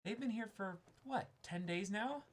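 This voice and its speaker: A man voicing cheerful, friendly young party guests, chatting gratefully in a higher-pitched voice. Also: a little slow. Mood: teasing.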